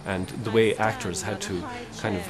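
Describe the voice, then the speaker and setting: Thoughtful low-voiced man. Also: fairly even intonation, moderate pace. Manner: thoughtful, hesitant with fillers. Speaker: interviewee. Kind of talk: documentary interview.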